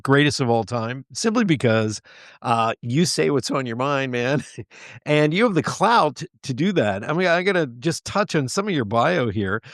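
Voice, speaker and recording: A man's voice: mid-range voice, no echo, clean studio sound. An American man from Pennsylvania hosting a casual interview in a chummy, enthusiastic way. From Pennsylvania, United States. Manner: chummy, enthusiastic, laughs easily. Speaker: show host.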